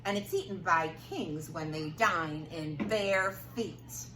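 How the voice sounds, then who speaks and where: A woman's voice: on the low side, slow pace, bright, forceful tone, pitched below the performer's own voice. A woman voicing a matter-of-fact, know-it-all storybook cook who recites in singsong rhyme. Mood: assured. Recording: roomy, distant, noisy room recording.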